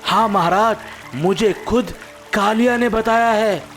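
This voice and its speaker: An actor voicing a loyal, eager frog messenger in a cartoon moral story, respectful and dramatic in manner. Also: male voice, high-pitched, slow pace. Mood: earnest, grave. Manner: respectful, dramatic tale-bearing. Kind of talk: animated Hindi moral story dialogue. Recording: soft music behind the voice.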